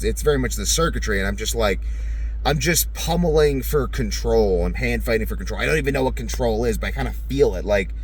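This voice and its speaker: A man giving a casual, rambling monologue in a blunt, profane way, often acting out his own inner voice. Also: on the low side. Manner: blunt, profane, animated self-quoting. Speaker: vlogger. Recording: clear background noise.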